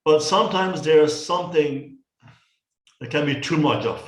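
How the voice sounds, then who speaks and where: A man's voice: on the high side, normal speed. A middle-aged man with a Dutch accent, a guest in a casual chat on a video call, talking with many restarts and repeated words. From Netherlands. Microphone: headset on a video call. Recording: roomy echo.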